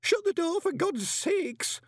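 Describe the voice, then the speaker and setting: A man voicing a panicky, tipsy, self-important gentleman in an audiobook, flustered and bossy. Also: very high-pitched, wildly swooping pitch, normal speed, dry recording, studio quality, pitched above the performer's own voice, full character voice. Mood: panicked.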